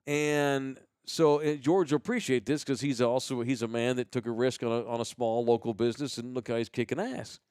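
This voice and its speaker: An American man hosting a podcast with casual banter and sponsor shout-outs, talking in a slangy, rambling, profane way. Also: mid-range voice, steady tone, brisk, few pauses, smooth, dry recording, studio quality. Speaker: host of a live podcast.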